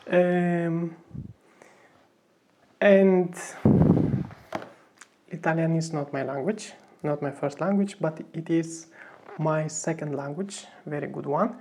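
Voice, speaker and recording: A woman testing microphones in a room, talking casually and hesitantly with many fillers, and reading haltingly from a book. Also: low-pitched, mellow, a little hiss or hum. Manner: reads aloud haltingly from a book. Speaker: gear reviewer testing microphones. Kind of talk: casual mic test vlog. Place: indoor room. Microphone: various test microphones, condenser among them.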